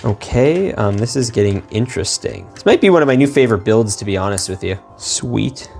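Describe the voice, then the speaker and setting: A man giving excitable, slangy, playful commentary as he builds toys for a video. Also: fairly low pitch, fairly quick, vocal fry, faint music behind. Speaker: toy-building video host.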